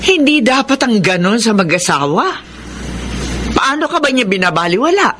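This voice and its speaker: A woman's voice: on the high side, big pitch swings, normal speed. A Filipino actress playing a friendly, concerned older female relative in a radio drama. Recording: noisy radio drama recording.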